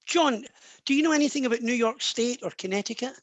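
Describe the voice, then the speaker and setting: A man's voice: very high voice, quick pace, no echo. Chatty rambling male psychic medium. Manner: chatty, rambling, many restarts. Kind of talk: live online mediumship session.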